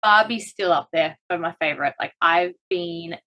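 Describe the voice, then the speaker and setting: A woman's voice: on the low side, moderate pace, muffled recording. A woman with an Australian accent, a guest on a podcast, telling personal stories in an earnest, open way. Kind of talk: casual chat.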